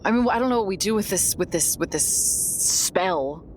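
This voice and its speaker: A woman playing a tabletop horror game in casual improvised talk, haltingly repeating her phrases. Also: mid-range voice, animated. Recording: clear background noise.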